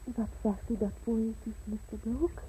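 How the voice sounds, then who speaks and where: High warm-toned woman a lovestruck young lady, old radio play, hesitant and emotional. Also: fairly even intonation, unhurried, low-quality recording. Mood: shy. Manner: emotional, hesitant, breathy pleading. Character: young aristocratic woman torn between love and duty. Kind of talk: 1950s radio drama acting.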